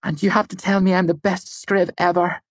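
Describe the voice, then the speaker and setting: Man voicing an excited young woman, pitched well up. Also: a little fast, no echo, lightly acted. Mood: playful. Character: excited, playful young woman scholar, bright and teasing.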